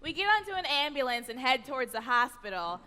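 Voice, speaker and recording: Bright, high-voiced American woman comedian. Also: very animated, fairly quick, smooth. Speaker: comedian, storyteller. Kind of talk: live comic storytelling in a staged radio play. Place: large theatre stage. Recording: echoey theatre hall, live stage noise.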